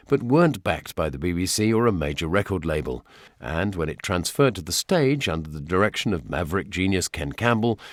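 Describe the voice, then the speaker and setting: A man's voice: sits low, dry recording, clean studio sound. A British man presenting a documentary, with narration and personal reminiscence.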